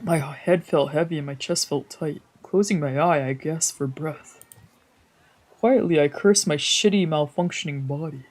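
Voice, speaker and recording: A woman's voice: deep voice, measured pace, a little mellow. A woman VTuber streamer reading visual novel narration aloud in a dramatic storytelling style.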